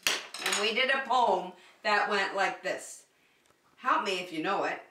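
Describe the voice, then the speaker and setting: Female preschool circle-time teacher, bright and encouraging, simple repetitive phrasing, roomy distant-mic sound. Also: fairly high pitch. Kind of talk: preschool lesson addressed to young children. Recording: roomy echo, distant microphone.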